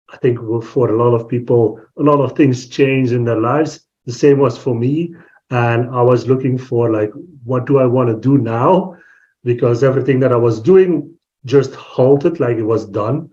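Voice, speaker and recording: A man's voice: mid-range voice, a little mellow. Man in his forties from belgium, chatting on a podcast, stops and restarts a lot, kinda muffled sound. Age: 40s. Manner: thoughtful, restarts often while searching for words. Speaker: podcast guest. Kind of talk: casual interview chat.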